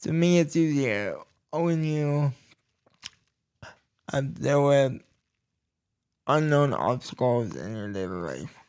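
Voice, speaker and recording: A young man hosting his own podcast, talking slowly and deliberately about everyday life, with pauses and restarts. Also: medium pitch, lively intonation, darkish tone, smooth. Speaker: podcast host. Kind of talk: casual personal talk.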